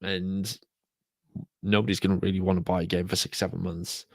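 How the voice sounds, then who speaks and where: A British man hosting a solo podcast, talking casually and repeating himself, croaky from a sore throat. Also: low-pitched, level tone, moderate pace, no room sound. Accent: British English. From United Kingdom. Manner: rambling, repeats words. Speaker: podcast host.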